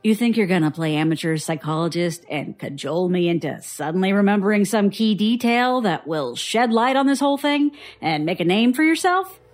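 An actress playing a bitter, guarded young woman, a traumatized survivor, in an audio drama, husky and sardonic. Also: mid-range voice, expressive, brisk, few pauses, faint music behind, close to an everyday voice. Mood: scornful, angry. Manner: sardonic, defensive.

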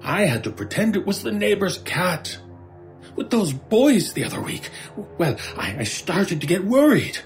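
An actor playing a frightened, haunted shopkeeper in a radio horror drama, halting and stammering in distress. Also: male voice, high-pitched, big pitch swings, faint music behind. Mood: troubled, haunted. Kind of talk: old-time radio horror drama acting.

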